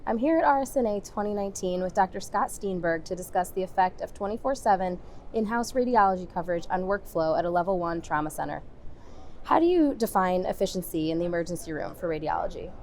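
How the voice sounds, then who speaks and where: A woman's voice: on the low side, animated, quick pace, darkish tone, slight background noise. A woman reporter speaking in a formal, scripted news interview style. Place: conference venue.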